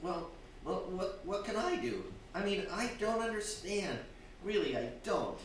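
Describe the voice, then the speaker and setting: A man's voice: sits high, very animated, measured pace. A man playing a timid, weak-willed husband in a radio drama, stammering and hesitant with nerves. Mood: frightened. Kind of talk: stage radio drama acting. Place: theatre stage before a live audience. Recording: very echoey hall, distant, faint noise.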